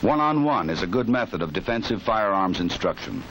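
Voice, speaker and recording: A man with an American accent giving authoritative, measured scripted narration for a 1960s instructional film. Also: lowish voice, very animated, fairly bright tone. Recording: noisy 1960s film soundtrack.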